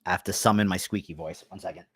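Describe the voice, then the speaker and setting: A man hosting a casual livestream, chatty and excitable, repeating himself as he experiments. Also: low voice, quick pace, slightly rough, dry recording. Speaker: livestream host.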